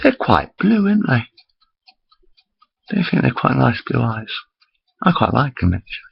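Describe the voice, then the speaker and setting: Guy in his 40s rambling at his laptop cam, pitch all over the place, kinda raspy. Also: big pitch swings, normal speed, dull audio. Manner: rambling, self-interrupting, chatty. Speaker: vlogger. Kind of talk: rambling webcam monologue. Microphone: built-in computer camera mic.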